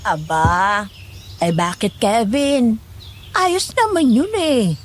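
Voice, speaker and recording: Woman acting a slow, lilting teasing matchmaker. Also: fairly high pitch, animated, background noise. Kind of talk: Tagalog horror audio drama acting.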